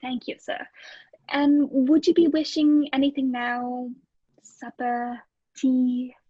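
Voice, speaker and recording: A woman playing a timid, eager-to-please landlady in a radio play, hesitant and stammering, with a hushed, breathy delivery. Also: high voice, flat delivery, slow, no echo, highs cut off, full character voice. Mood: flustered, meek. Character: timid, eager-to-please married landlady in an old British lodging house. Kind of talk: old-time radio play acting.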